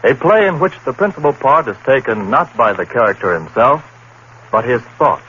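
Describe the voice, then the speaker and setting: A man's voice: medium pitch, expressive, normal speed, pushed and bright, slightly rough. A man announcing a radio drama in a theatrical, ominous 1930s style. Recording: dull old radio broadcast with hiss.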